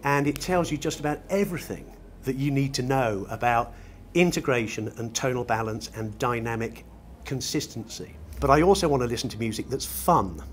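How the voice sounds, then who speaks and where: A man's voice: medium pitch, lively intonation, moderate pace. A middle-aged British man explaining his work in an unscripted interview, articulate and ornate in his wording. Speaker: loudspeaker designer being interviewed. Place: large glass-roofed exhibition show room. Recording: noisy exhibition room ambience.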